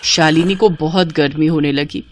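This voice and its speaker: A woman's voice: low voice, steady tone, moderate pace, a little hiss or hum. A woman narrating a scripted children's moral story as storyteller.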